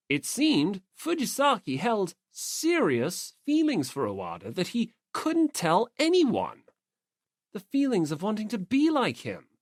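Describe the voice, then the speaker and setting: A woman's voice: lowish voice, dry recording, clean studio sound. A woman reading scripted story narration as an audiobook narrator.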